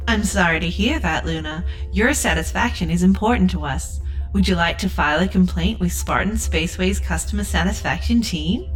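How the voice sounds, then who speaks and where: A woman's voice: lowish voice, moderate pace, soft background music, natural voice, poor audio. A woman playing a relentlessly cheerful, customer-service-obsessed spaceship AI in a sci-fi audio drama, with polished, scripted politeness. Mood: politely scripted.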